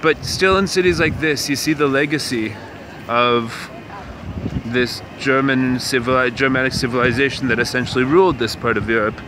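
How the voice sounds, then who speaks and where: Rambling man vlogging on a noisy street. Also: medium pitch. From Canada. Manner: rambling, musing, many fillers and restarts. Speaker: vlog host. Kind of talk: casual walking vlog monologue. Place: outdoors on city streets. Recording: outdoor street noise.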